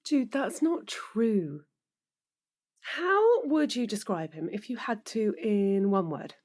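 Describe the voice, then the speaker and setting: An actress playing an earnest, lovelorn woman in an audio drama, with a breathy voice and intimate delivery. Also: mid-range voice, very animated, slow, darkish tone. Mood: yearning. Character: earnest, lovelorn woman pleading for her love. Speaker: actor.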